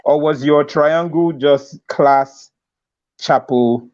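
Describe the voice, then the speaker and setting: A man's voice: on the high side, fairly even intonation, takes it slowly, darkish tone, no room sound. A man with a Nigerian accent hosting a casual interview, playfully repeating words for emphasis, on muffled audio. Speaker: interviewer, host. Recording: dull, lo-fi audio.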